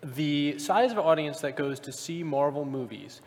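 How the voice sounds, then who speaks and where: A man with a somewhat high voice chats and banters as a live panelist. His words carry the long reverb of a big convention room. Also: measured pace. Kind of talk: casual jokey panel banter.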